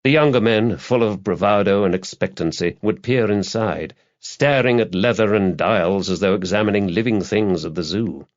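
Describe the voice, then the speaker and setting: Deep-voiced man narrating a thriller audiobook. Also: moderate pace, few pauses, no echo. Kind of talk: scripted narration of a crime thriller novel. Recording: dull, lo-fi, dry audiobook recording.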